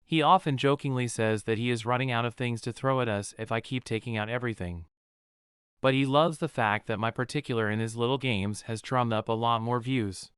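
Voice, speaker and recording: A man giving a scripted first-person narration as an in-character game audio log, in a smooth, clear voice. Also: medium pitch, fairly quick, fairly bright tone, no echo, studio quality.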